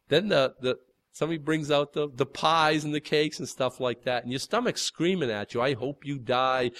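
A man's voice: fairly high pitch, a bit bright. A man preaching an informal sermon in a folksy, rambling way, full of fillers and restarts, with a big voice.

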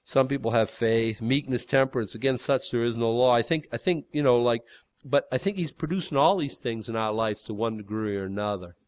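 A man's voice: medium pitch, steady tone, a little fast, no room sound. A man preaching an informal sermon in a folksy, rambling way, full of fillers and restarts, with a big voice.

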